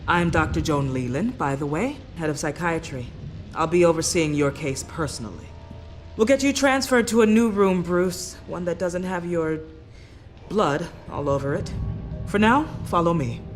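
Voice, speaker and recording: An actress playing a composed, firm female head psychiatrist in a video game, with a measured, authoritative manner. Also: fairly low pitch, lively intonation, lightly acted. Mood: reassuring, professional. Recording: music behind the voice.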